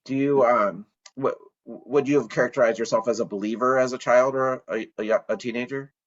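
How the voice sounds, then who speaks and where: A man hosting a podcast interview, asking questions hesitantly and filling gaps with soft "mm-hmm"s. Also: mid-range voice, steady tone, a little fast, dull audio.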